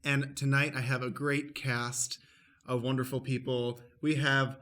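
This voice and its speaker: Male podcast host with a medium pitch. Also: flat delivery, measured pace, fairly bright tone. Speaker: podcast host and stage manager. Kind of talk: scripted-sounding show introduction, addressing listeners.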